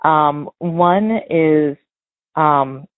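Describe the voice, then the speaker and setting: A woman's voice: deep voice, very slow. An American woman leaving a casual voicemail as a listener calling in, earnest and a little hesitant as she thinks aloud. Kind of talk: casual spoken voicemail commentary. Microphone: phone. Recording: muffled phone-line voicemail.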